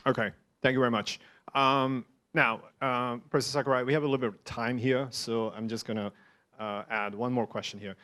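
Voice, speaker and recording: A man's voice: medium pitch, steady tone, brisk, pushed and bright. A man moderating an online Q&A session, speaking hesitantly with many ums and uhs. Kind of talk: hosting an online Q&A.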